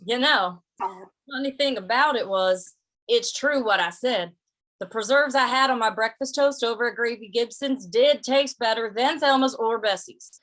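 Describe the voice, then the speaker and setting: Woman acting a western drifter, high voice, bright tone, folksy, sly, good-natured, hesitant repetitions, old-time radio style. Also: normal speed, close to an everyday voice, rough recording. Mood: amused, confiding. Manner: folksy drawl, hesitant, repeats phrases.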